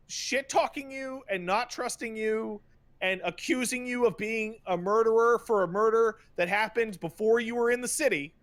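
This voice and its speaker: Male podcast host, fairly high voice. Emphatic, sweary, conversational rant with repeated words for stress. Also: a bit bright. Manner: emphatic, profane, halting with repetitions and mocking paraphrases. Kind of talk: casual ranting commentary about video games.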